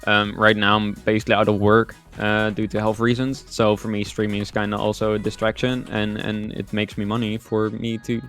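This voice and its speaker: A man's voice: lowish voice, monotone, fairly quick, hardly any pauses, a bit bright, soft background music. A man in his twenties from the Netherlands, giving casual answers as a streamer guest on a podcast. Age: 20s.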